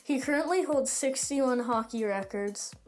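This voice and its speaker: A woman's voice: high-pitched, a little slow, a little mellow, soft background music. A female speaker reading out short scripted facts on a noisy recording. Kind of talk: short scripted fact read-out.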